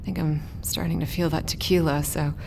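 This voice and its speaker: An actress playing a desperate, determined shipwreck survivor in an audio drama. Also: female voice, low-pitched, little pitch movement, normal speed, creaky, a little mellow, a little hiss or hum. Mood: weary, pleading. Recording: music under the voice.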